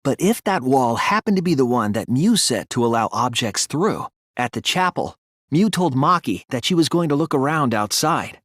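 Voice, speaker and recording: An earnest teenage boy detective from a video game. The voice is soft-edged and breathy at a medium pitch, recorded very dry. It moves expressively up and down as he lays out deductions in a deliberate, analytical way. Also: very animated, no echo. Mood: focused, explanatory. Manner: deliberate, earnest, analytical explaining with dramatic emphasis. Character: earnest, soft-spoken teenage boy detective reasoning through murder cases. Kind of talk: English video game voice acting, deductive monologue.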